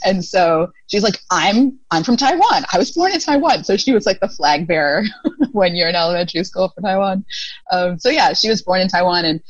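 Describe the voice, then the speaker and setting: A woman's voice: fairly low pitch, lively intonation, brisk, no echo, highs cut off. An American woman in her thirties telling stories as a podcast guest, talking casually with lots of fillers and laughs. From United States. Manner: chatty, many fillers, laughs often. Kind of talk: casual storytelling interview.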